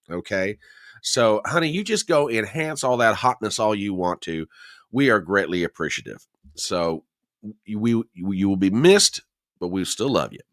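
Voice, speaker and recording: A middle-aged man hosting a casual livestream, chatty and jokey, a little hoarse and nasal from a cold. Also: fairly low pitch, bright, forceful tone, dry recording, clean studio sound. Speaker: host.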